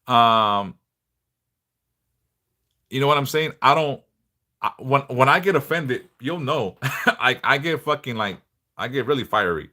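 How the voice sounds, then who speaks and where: A middle-aged man a big bass voice, chatting bluntly and passionately on a livestream, swearing freely. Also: expressive, unhurried, pauses often, pushed and bright. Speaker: livestream host, devotional teacher. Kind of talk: casual livestream chat.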